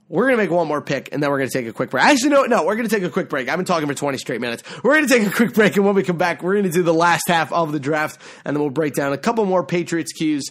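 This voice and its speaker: A man's voice: on the high side, fairly bright tone. A young man hosting a solo football mock draft podcast, rambling and correcting himself, his voice a little worn. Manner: rambling, self-correcting, enthusiastic. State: losing his voice. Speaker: podcast host.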